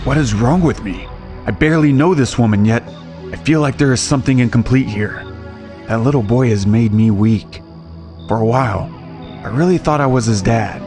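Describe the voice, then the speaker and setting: A man's voice: mid-range voice, normal speed, darkish tone, soft background music, close to an everyday voice. A man playing a proud, brooding wealthy businessman in an audio drama. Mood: troubled. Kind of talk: dramatised audio series acting.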